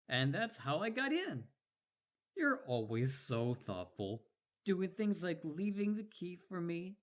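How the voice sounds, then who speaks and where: A man's voice: high-pitched, sing-song, takes it slowly, exaggerated voice. A man playing an eager, boastful, lovestruck young wolf boy in a roleplay audio drama, rambling and stammering when flustered. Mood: smug, sweet.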